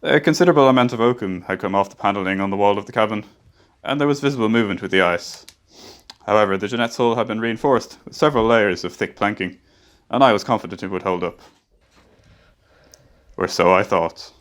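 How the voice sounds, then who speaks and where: A man's voice: on the low side, fairly quick, close to an everyday voice. A man narrating a radio play as a crewman, grave and reflective. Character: male ship's engineer recalling the voyage.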